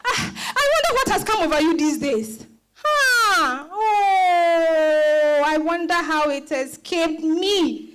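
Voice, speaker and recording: A woman playing a sharp-tongued old grandmother with a Ghanaian accent in a dramatized reading, scolding imperiously with many interjections. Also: extremely high pitch, animated, slow, very noisy, heavily acted. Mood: scolding, exasperated.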